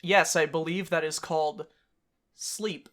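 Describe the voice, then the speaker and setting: A woman's voice: low voice, fairly even intonation, a little slow, fairly bright tone. A woman co-hosting a game playthrough with casual, joking commentary and some read-aloud narration. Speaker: gaming channel co-host.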